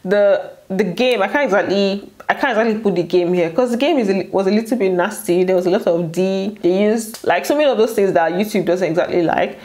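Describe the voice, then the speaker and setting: A woman's voice: medium pitch, brisk, few pauses, darkish tone. A Nigerian woman hosting a casual gossip recap, chatty and colloquial, with playful asides. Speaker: YouTube host recapping a reality show.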